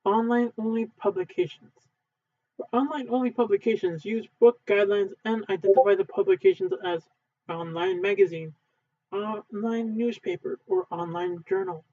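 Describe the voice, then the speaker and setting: A man reading a textbook aloud in a halting way, stumbling and correcting himself as he goes. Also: dark tone. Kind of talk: unedited read-aloud of a textbook. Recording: thin phone-like low-fidelity sound.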